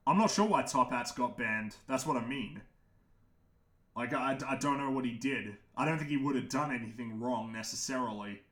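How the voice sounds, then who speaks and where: A young man with a naturally deep voice hosts a casual livestream, giving frank, opinionated takes with frequent restarts, occasional swearing and laughter. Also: normal speed, a bit bright, roomy sound. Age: young adult. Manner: laughs often. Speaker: livestream host answering chat.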